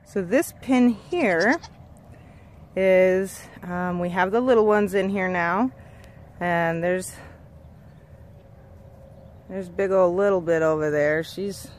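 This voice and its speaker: A woman's voice: fairly low pitch, slight background noise. A woman in her thirties giving a casual outdoor tour of her goat homestead, chatty with frequent ums and restarts, filmed on a handheld camera. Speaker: goat farmer and channel host. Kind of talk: casual farm tour vlog. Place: outdoors on a dry rural homestead. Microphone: camera held at arm's length, then walking behind it.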